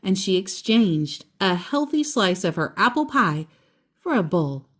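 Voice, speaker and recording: A woman reading a children's picture book aloud expressively as a storyteller, with deliberate, dramatic emphasis on descriptive words. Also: medium pitch, sing-song.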